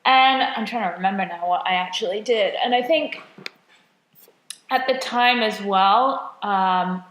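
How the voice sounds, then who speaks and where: A woman's voice: medium pitch, unhurried, a bit bright, highs cut off, slight background noise. Chatty woman in an echoey room. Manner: chatty and self-deprecating, laughs often. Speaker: podcast co-host and nutrition coach. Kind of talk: casual podcast chat. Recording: roomy echo.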